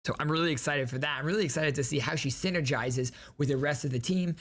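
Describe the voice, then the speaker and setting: A man's voice: fairly high pitch, fast, fairly bright tone. A man hosting a video game reaction, explaining details with enthusiasm. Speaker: reaction video host, gaming streamer.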